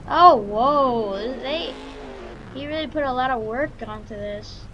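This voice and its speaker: A woman doing casual, halting roleplay commentary over a farming game, excitable and given to exclaiming. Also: high voice, muffled recording. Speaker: gaming video creator. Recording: noisy, with vehicle engine sounds.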